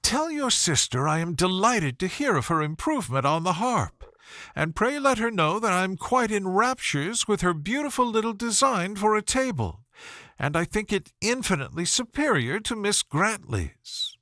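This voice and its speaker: Storybook role: male reader as a fawning society miss, high-pitched, bright and swooping, flirtatious and eager to please. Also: very animated, moderate pace, dry recording, studio quality, full character voice. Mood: gushing, flattering. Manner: effusive, fawning. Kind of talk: audiobook character voice.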